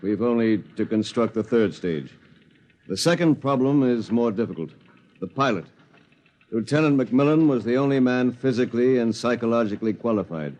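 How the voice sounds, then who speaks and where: A man's voice: medium pitch, animated, moderate pace, darkish tone, natural voice. An American man playing a curt, weary general with a clipped, laconic soldier's delivery in a 1950s radio drama. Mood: matter-of-fact. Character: curt, weary military general briefing the press.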